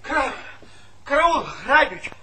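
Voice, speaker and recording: A man playing a feisty old village grandfather in a stage comedy, husky and histrionic, exclaiming in theatrical outrage. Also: very high voice, lively intonation, slow, over a noisy crowd, exaggerated voice. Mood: outraged, histrionic. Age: older. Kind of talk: Soviet stage comedy acting.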